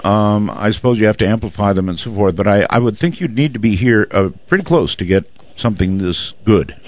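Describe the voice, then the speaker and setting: A man's voice: on the low side, a little fast. A man hosting a radio interview, asking loosely phrased questions. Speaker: radio show host. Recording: dull lo-fi audio.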